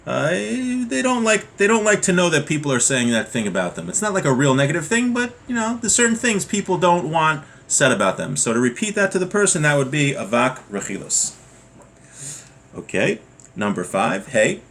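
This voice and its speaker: A man's voice: on the high side, sing-song, moderate pace, gravelly, fairly bright tone. A man giving an informal lesson as a religious teacher, reading from a text and then explaining it with everyday examples.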